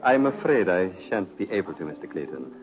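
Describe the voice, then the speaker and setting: An actor playing a courtly, sinister prince in a 1940s radio drama, speaking with formal, smooth politeness. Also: male voice, sits low, big pitch swings, fairly quick. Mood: suave, courteous. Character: suave, courtly, sinister aristocratic prince, a strange man. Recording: muffled old radio recording, music behind.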